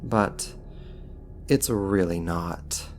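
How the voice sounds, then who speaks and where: A man voicing a powerful, smugly dominant archdemon in an ASMR roleplay, mocking and menacing with a theatrical, deliberate delivery. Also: sits low, darkish tone, slight background noise, heavily acted. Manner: theatrical, taunting, slow and deliberate.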